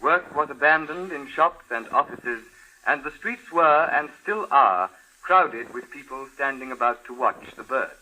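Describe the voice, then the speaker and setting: An actor playing a formal, grave news announcer with clipped official delivery in a 1950s radio drama. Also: male voice, mid-range voice, animated, unhurried, bright, forceful tone, poor audio. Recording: thin old radio recording, faint noise.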